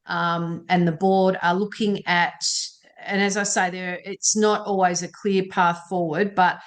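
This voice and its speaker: A woman's voice: fairly low pitch, little pitch movement, a little slow. A woman moderating an online meeting's question time, chatty and reassuring, with frequent ums. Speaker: session moderator.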